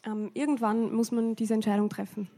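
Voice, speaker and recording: A woman's voice: fairly high pitch, little pitch movement, fairly quick, dark tone. A woman interpreting a church service live, phrase by phrase, with frequent hesitation fillers.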